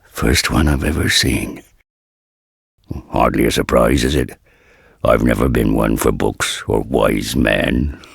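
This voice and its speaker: A gruff but kindly old blacksmith from a fantasy game. His voice is extremely low and thick with gravel, and he talks slowly in modest, archaic phrases. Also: steady tone, no room sound, heavily acted. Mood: gruff, amiable. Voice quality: deep gravelly rasp. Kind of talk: video game character dialogue.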